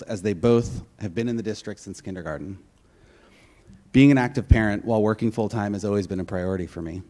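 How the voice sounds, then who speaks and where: A man in his forties reads his prepared candidate statement to a community forum with earnest, steady delivery. His voice sits on the low side. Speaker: school board candidate. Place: public forum room.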